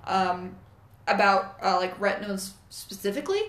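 A woman's voice: medium pitch, animated, moderate pace, fairly bright tone. A young adult woman co-hosting a podcast, talking in a thoughtful, hedging way with many fillers and self-corrections. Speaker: co-host, company staff. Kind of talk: casual podcast chat. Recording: echoey room.

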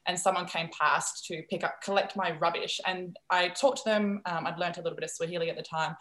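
Fast-talking Dutch woman, echoey room. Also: on the low side, slight background noise. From Netherlands. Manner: animated, quick, frequent fillers and laughs. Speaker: guest. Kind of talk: podcast interview.

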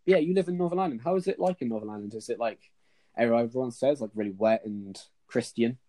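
A man's voice: medium pitch, quick pace, dark tone. A young man interviewing casually, rambling, repeating himself and swearing. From England. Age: young adult. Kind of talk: casual chat.

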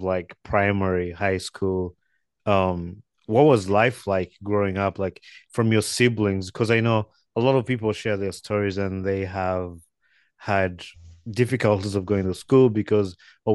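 A Ugandan man hosting a relaxed podcast chat, his voice sitting low. He rambles and hedges, circling back with "like". The sound is dry and close, with no room echo. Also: a little slow. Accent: Ugandan English. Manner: rambling, hedging with many "like"s. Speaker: podcast host. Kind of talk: casual interview chat.

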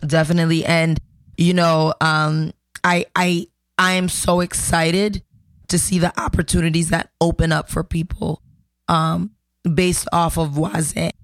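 A woman whose native tongue is Haitian Creole co-hosts a podcast in an upbeat, affirming way. Her pitch stays fairly flat, and she pauses on fillers. The sound is dry and close. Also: dry recording. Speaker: podcast co-host and project founder. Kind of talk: casual podcast chat.

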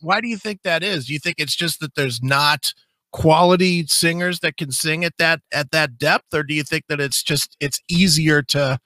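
Casual male interviewer, slightly high and bright, dry recording. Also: moderate pace, dry recording. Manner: casual, short affirmations. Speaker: podcast host. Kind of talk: interview questions.

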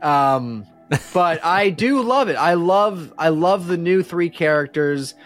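A man's voice: fairly high pitch, expressive, takes it slowly, fairly bright tone, faint music behind. A man chatting casually and enthusiastically as a fan and podcast guest, in an informal way.

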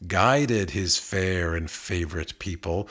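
A man reading a narrative poem aloud in a literary recitation, with measured, deliberate phrasing and expressive emphasis. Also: deep voice. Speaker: reader of poetry.

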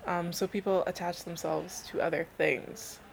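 A woman's voice: fairly low pitch, level tone, moderate pace, vocal fry, a little hiss or hum. A young woman from Canada, explaining her research in an informal, thoughtful interview style. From Canada, born in Belgium to Nigerian parents. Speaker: interviewed thesis student.

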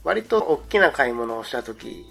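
A man's voice: mid-range voice, big pitch swings, normal speed, creaky, a bit bright, soft background music. A man giving casual, colloquial business commentary as a podcast co-host.

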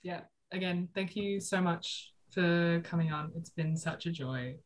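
A woman's voice: fairly low pitch, unhurried. A woman co-hosting a podcast, chatting casually in an effusive, jokey manner. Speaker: podcast co-host.

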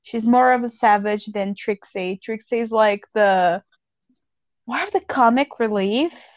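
A woman's voice: fairly high pitch, dry recording. A woman ranting bluntly and profanely in a casual chat as a podcast co-host.

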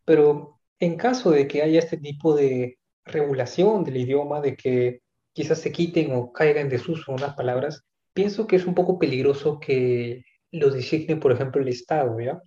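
A man's voice: a little high, moderate pace, warm tone. A man talking in a casual conversation, thoughtful and hesitant, with many asides and restarts. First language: not English. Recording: echoey room, dull lo-fi sound.

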